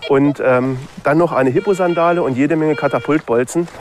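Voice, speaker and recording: A man's voice: fairly high pitch, normal speed, a little hiss or hum. A man giving a casual account in an interview as an amateur metal detectorist.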